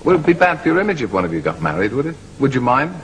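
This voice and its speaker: A man's voice: mid-range voice, sing-song, very fast, slight background noise. A man working as an interviewer, asking teasing questions.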